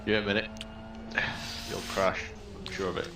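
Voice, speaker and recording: A man's voice: on the low side, flat delivery, normal speed, creaky. A middle-aged man with a Manchester accent chatting during a racing game, swearing in a panic and cracking dry jokes. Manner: panicky swearing while driving, dry wit. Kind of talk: casual gaming chat. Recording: echoey, distant mic with music behind.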